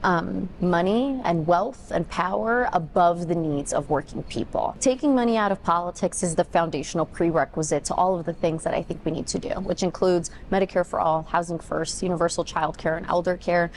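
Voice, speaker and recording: A woman of twenty-nine, a political candidate giving interview answers in a campaign pitch. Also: brisk, hardly any pauses, slight background noise. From born in Ethiopia, grew up in Denver, United States.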